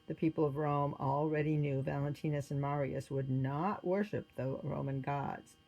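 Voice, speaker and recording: A woman's voice: sits low, steady tone, normal speed, gravelly, mellow, lo-fi sound. A woman reading a children's religious story aloud as its narrator.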